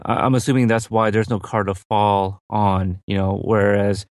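A man's voice: fairly low pitch, level tone, normal speed, no room sound, clean studio sound. A man whose second language is English, co-hosting a casual film discussion and hesitating with many fillers. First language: not English. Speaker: film podcast co-host.

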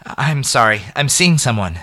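A man's voice: medium pitch, big pitch swings, moderate pace, bright, forceful tone, voice pushed up. A man voicing a young woman who works a restaurant counter, polite and friendly, in an audiobook story. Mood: apologetic.

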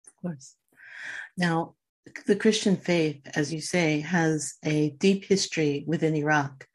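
A woman hosting a podcast, reading a scripted introduction and asking interview questions in a clear, deliberate way. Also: low-pitched. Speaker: podcast host.

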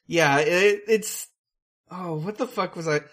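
Low-voiced, unhurried woman podcaster. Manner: halting, profane. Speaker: podcast co-host. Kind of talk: casual movie discussion.

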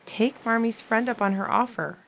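A woman acting in a modern audio drama as a gentle, ailing young sister, earnest and reflective, with quiet resolve. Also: on the high side, moderate pace, gravelly. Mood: firm, urging.

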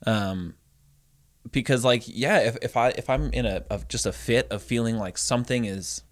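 Man chatting haltingly on a podcast. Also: medium pitch. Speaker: podcast co-host. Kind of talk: casual conversational podcast talk.

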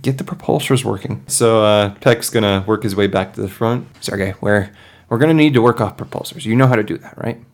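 A man's voice: fairly low pitch, a little fast, lightly acted. A man playing a glib, scheming starship captain in an improvised tabletop game, breezily explaining things to his crew. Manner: breezy, patiently explaining, evasive. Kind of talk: improvised roleplay in a tabletop podcast.

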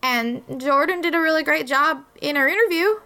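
A woman's voice: sits high, brisk, background noise. A woman chatting casually as a guest on a podcast. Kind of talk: casual chat.

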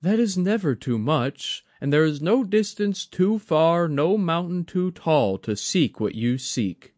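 A man's voice: a little high, animated, measured pace, a little mellow, dry recording, pitched above the performer's own voice. A man doing an eager young shrine maiden. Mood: gentle. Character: young woman shrine keeper, warm and eager.

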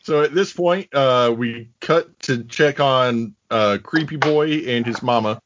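A man's voice: medium pitch, a little slow, fairly bright tone, dull audio, slight background noise. A man co-hosting a podcast, recapping scenes in a dry, sarcastic and profane way. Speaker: podcast co-host. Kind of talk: casual chat, recapping a film.

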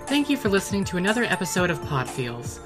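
A woman, the project director, reading a scripted outro with credits and links in a steady, friendly way. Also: on the low side, fast, over music.

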